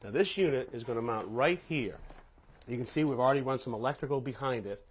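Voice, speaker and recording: A man's voice: mid-range voice, quick pace, slightly rough. A plain-spoken man hosting a home improvement show, explaining hands-on work step by step in a conversational way. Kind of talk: hands-on how-to demonstration, conversational.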